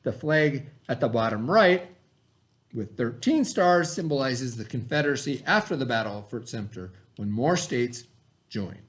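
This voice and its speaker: Guy teacher doing a read aloud, medium pitch, explains stuff and asks little check questions. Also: animated, moderate pace. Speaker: teacher reading aloud to a class.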